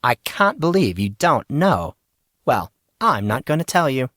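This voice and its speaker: A man's voice: medium pitch, big pitch swings, unhurried, fairly bright tone, dry recording, studio quality. A man voicing a feisty, easily angered teenage girl with a smug, needling manner in an audio story. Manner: indignant, needling. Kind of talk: audiobook character voice.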